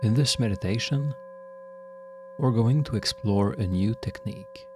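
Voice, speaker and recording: A man leading a guided meditation as a guide, speaking in a calm, measured, instructional way with long pauses. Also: lowish voice, background noise.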